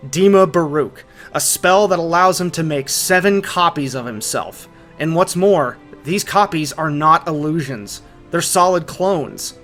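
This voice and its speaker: A man's voice: fairly high pitch, lively intonation, unhurried, hardly any pauses, a bit bright. A man giving scripted, emphatic storytelling narration as the narrator of an analytical video essay. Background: background music.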